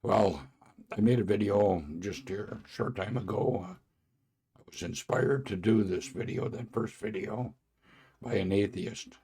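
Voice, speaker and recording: Brief: hoarse male podcast host, very low gravelly voice, slow and halting, earnest testimony. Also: dark tone. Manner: halting, with frequent filler pauses. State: hoarse from a sore throat. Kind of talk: casual faith testimony monologue.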